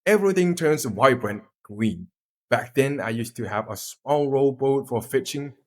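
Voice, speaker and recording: Young man, Thai accent, friendly scripted class presentation, mid-pitched voice. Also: expressive, a little slow. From Thailand. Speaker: student presenter.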